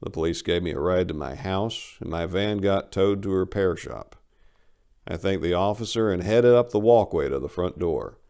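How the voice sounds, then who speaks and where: A man with a fairly low voice narrates a first-person short story as a dramatic reading. His delivery is theatrical and expressive, with lively, wide swings in pitch. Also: vocal fry.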